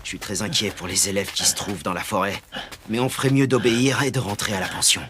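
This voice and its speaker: A man's voice: fairly low pitch, quick pace, noisy background, natural voice. An actor playing a cautious, concerned male student hero in a French anime dub, serious and sensible. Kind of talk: French-dubbed anime acting.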